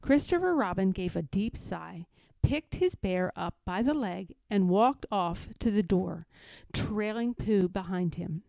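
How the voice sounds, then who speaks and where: A woman's voice: mid-range voice, expressive, unhurried, creaky, dark tone, no echo. A woman reading a children's story aloud as a scripted narration. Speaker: storybook reader.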